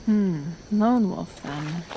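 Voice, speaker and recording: A woman playing a kind, stubborn healer in an intimate ASMR roleplay, speaking in a gentle, halting, soothing way that often slips into whispers. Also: lowish voice, slight background noise. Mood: curious, dry.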